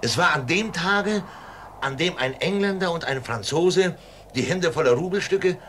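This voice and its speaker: Weary, earnest male traveller, protective, mid-pitched with lively swings, audio drama with faint ambient noise. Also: normal speed. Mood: probing, insistent. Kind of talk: German audio drama acting.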